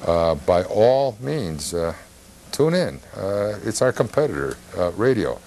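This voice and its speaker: Very deep-voiced, halting host. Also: male voice, big pitch swings, warm tone. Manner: halting, rambling, repeats words. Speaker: television host. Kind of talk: casual interview chat. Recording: faint background noise, TV studio.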